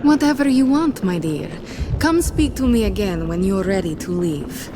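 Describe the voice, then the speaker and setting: A woman's voice: medium pitch, lively intonation, normal speed, heavy background noise, natural voice. An actress playing a genteel lady of a manor in a video game, polished, condescending and playfully courteous. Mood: mock-gracious. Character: genteel, coyly mocking lady of a gloomy manor. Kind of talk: video game character acting.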